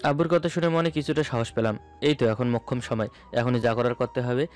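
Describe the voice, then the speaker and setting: A man tells a story in the first person as a dramatic narrator. His pitch is middling for a man, and soft music plays behind him. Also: fairly even intonation, brisk. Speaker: narrator of a romantic audio story. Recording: music bed under the voice. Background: background music.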